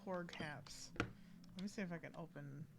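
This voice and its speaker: A woman's voice: on the low side, unhurried, very noisy. A woman giving casual live gameplay commentary as a streamer, in a rambling, joking, slangy way. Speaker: game streamer. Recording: game music behind voice.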